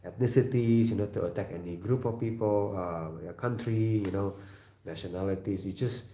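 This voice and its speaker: Gravelly Indonesian-accented man, echoey room. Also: on the low side, dark tone, a little hiss or hum. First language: not English. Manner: halting, repeats words, many fillers, clears throat often. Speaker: livestream host. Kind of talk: casual live chat reading viewer comments.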